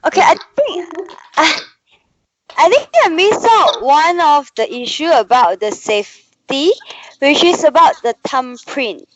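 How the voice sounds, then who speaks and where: A woman's voice: high voice, animated, measured pace, dry recording. A woman chairing an informal work meeting on a video call. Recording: dull video call audio.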